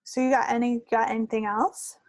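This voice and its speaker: A woman's voice: fairly high pitch, flat delivery, brisk, no echo. A woman chatting casually as co-host of a hobby podcast. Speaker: co-host of a knitting video podcast. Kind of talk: casual chat.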